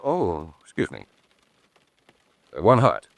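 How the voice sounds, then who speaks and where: Courteous, lively low-voiced gentleman guest. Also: slow pace, creaky, no room sound, heavily acted. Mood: affable. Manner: courteous, self-deprecating, understated. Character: polite self-deprecating male guest posing as stranded motorist. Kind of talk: old-time radio drama acting.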